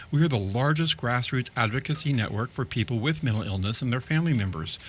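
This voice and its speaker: A man's voice: medium pitch, a bit bright, poor audio. A man hosts a community radio talk show with an ordinary mid-range voice. He moves from a read opening to friendly, upbeat chat with his guest. A faint hiss sits under the recording. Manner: scripted opening, then chatty and upbeat with the guest.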